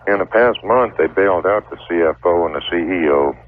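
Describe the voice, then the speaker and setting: A middle-aged man speaks very low as a phone-in caller. He lays out his problem deliberately, with halting pauses. The line is tinny and narrow, with faint noise from an old radio tape. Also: lively intonation.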